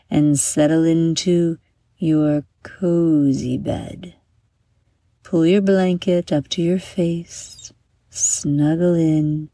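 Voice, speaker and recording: Slow, low, breathy woman guiding bedtime meditation. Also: mellow, no room sound. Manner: soothing, unhurried, breathy phrase endings. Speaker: guided meditation narrator and host. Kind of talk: bedtime guided meditation, scripted narration.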